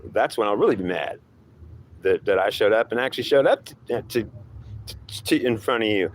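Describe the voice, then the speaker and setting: A man's voice: fairly low pitch, animated, normal speed, natural voice. A man playing a taunting devil who claims to be God, lecturing in a scornful, goading, repetitive way. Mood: mocking, stern.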